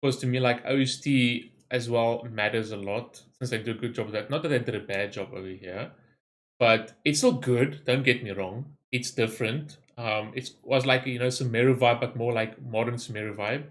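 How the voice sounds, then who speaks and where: A man's voice: medium pitch, fairly even intonation, normal speed, a bit bright. A man hosting a reaction video, giving informal, enthusiastic commentary in casual language. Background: game trailer soundtrack.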